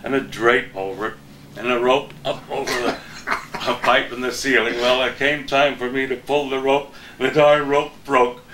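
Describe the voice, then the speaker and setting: A man's voice: mid-range voice, fairly even intonation, moderate pace. An older man, a veteran TV weatherman, chatting casually and telling anecdotes with a chuckle. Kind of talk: casual reminiscing chat. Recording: roomy echo, faint background noise.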